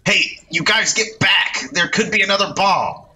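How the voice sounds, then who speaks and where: A man voicing a brisk male reporter who barks warnings and commands. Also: medium pitch, big pitch swings, voice pushed up. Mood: shouting. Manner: forceful, shouting warnings.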